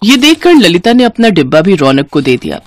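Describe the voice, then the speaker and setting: A woman narrating a scripted moral story in an expressive storytelling manner. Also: on the low side, fairly quick.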